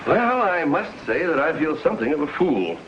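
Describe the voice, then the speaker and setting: A man playing a dryly humorous, formal society gentleman in a 1950s television western. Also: on the high side, very animated, normal speed, background noise. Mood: rueful. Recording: muffled old 1950s TV soundtrack with music.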